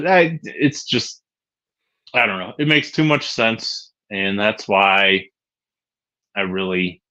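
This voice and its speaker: A man from co-hosting a casual sports podcast, talking hesitantly with many pauses and restarts. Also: on the low side, pushed and bright. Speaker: podcast co-host. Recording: dull lo-fi sound.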